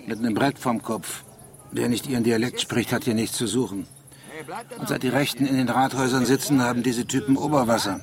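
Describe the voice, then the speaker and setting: An actor playing an embittered, hot-headed goat farmer in a radio crime drama. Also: male voice, medium pitch, fairly even intonation, a little fast, a crowd in the background, natural voice. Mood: bitter.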